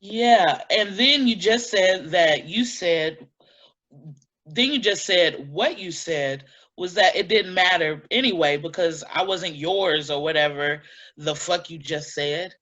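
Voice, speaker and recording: A woman's voice: lowish voice, slow pace, a bit bright, highs cut off. An actress in a staged play reading, playing a touchy, indignant, blunt-spoken woman. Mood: hurt, bitter. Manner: blunt, confrontational, emphatic.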